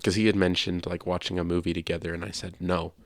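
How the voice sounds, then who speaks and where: A man with a creaky voice co-hosting a casual video game podcast, chatting conversationally. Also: flat delivery, quick pace, no echo, studio quality. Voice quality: low creaky voice. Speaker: podcast co-host. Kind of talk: casual podcast chat about video games.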